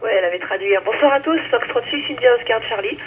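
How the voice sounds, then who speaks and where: A woman's voice: fairly high pitch, quick pace, husky. A woman amateur radio operator making a casual check-in with call signs. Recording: phone-like, narrow amateur radio audio.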